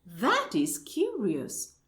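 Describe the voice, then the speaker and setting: Slow, swooping, prim lady, acted by a woman. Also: on the high side, wildly swooping pitch, a bit bright, heavily acted. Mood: mock astonishment. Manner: formal, deliberate, mock-astonished. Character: prim, courteous lady, politely baffled. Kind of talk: absurdist stage dialogue read as radio play.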